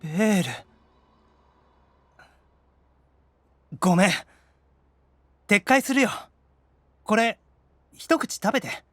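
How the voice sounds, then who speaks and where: A man playing a whiny, excitable young demon otaku in an anime audio drama, dramatic and easily flustered. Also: high-pitched, a little slow, dry recording, studio quality, soft background music. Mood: softened, apologetic.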